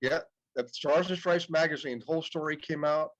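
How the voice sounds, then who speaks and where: Man in his fifties, fairly high voice, chatty storyteller, dull muffled recording. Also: brisk. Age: 50s. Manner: chatty, repeats "yep" often. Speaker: podcast guest. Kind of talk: casual storytelling interview.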